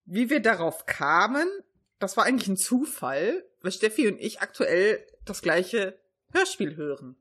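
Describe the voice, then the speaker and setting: Slightly husky woman chatting informally. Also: mid-range voice, big pitch swings, a little slow, fairly bright tone. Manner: giggly, informal, self-deprecating. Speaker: podcast co-host. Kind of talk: casual chat.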